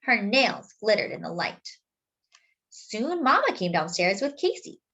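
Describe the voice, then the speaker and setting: Female children's story reader: very unhurried, animated intonation, explanatory and deliberate. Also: mid-range voice, dull audio. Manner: deliberate, explanatory for young listeners. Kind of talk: expressive picture-book read-aloud with simple asides.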